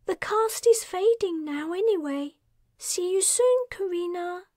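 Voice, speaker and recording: A woman's voice: very high-pitched, expressive, measured pace, no room sound, studio quality, voice pushed up. A woman voicing a cheerful, eager young male mage in an audiobook. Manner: bright and upbeat, innocently cheery.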